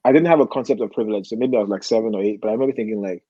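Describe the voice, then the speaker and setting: A man, telling personal stories casually and haltingly as a guest, often rephrasing as he reflects. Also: lowish voice, slightly rough, no room sound, muffled recording. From Nigeria. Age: 40s. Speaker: podcast guest.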